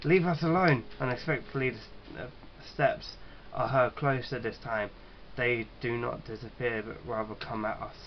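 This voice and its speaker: A man's voice: medium pitch, fairly even intonation, muffled recording, a little hiss or hum. A man reading a horror game's story aloud, stumbling over words and dropping in casual, sweary reactions. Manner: halting reader who stumbles and rereads. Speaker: gaming video host.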